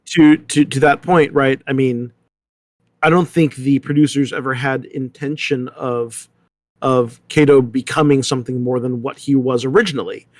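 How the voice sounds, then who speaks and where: A man's voice: mid-range voice, fairly even intonation, moderate pace, dry recording. A man in a casual, analytical discussion, talking conversationally and often checking that listeners follow. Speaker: panelist.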